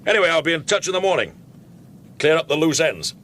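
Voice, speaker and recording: An actor playing a senior police chief in a radio drama, brisk and genially condescending. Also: male voice, fairly high pitch, very animated. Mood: brisk, approving.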